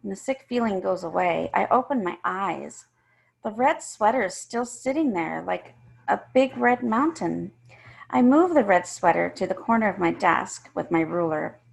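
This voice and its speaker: A woman's voice: mid-range voice, expressive, normal speed, gravelly, husky, rough recording. A woman reading a first-person children's story aloud, emphatically and with acted-out feeling. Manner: emphatic, acts out the characters.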